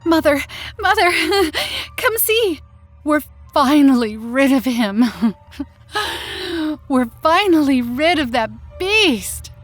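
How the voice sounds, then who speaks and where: Woman acting shrill, cruel sister in radio horror. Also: sing-song, extremely slow, faint music behind, exaggerated voice. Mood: gleeful. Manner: taunting, shrill shouting. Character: cruel mocking sister, shrieking at her monstrous brother. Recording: roomy echo.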